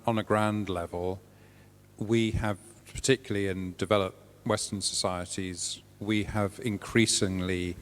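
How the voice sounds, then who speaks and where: Deep-voiced man musing philosophically in a church. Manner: measured, philosophical. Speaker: panellist, vicar. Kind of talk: reflective panel answer. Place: large parish church. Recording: long reverb of a big church.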